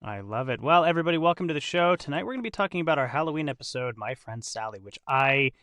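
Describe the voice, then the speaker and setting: A man hosting a podcast, interviewing casually with an excitable, gushing manner. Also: a little high, quick pace, no echo. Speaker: podcast host.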